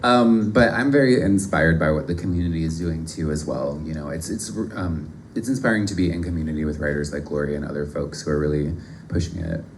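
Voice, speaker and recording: A man's voice: extremely low pitch, brisk, hardly any pauses, a little hiss or hum. A man, a poet at a reading, answering questions in a playful, joking way and laughing between lines.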